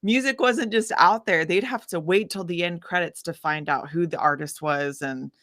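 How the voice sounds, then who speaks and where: Woman, lowish voice, casual interview guest, animated, informal, dry close recording. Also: moderate pace, no room sound. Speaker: guest musician, singer-songwriter. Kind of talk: casual chatty interview storytelling.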